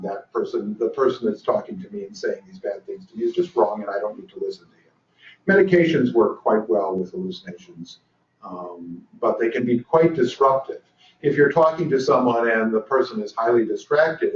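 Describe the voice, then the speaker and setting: Older man lecturing in an echoey hall. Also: fairly low pitch. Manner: dry humor, frequent fillers and restarts. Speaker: lecturer at a legal training. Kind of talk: conference lecture. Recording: roomy, distant, dull lecture recording.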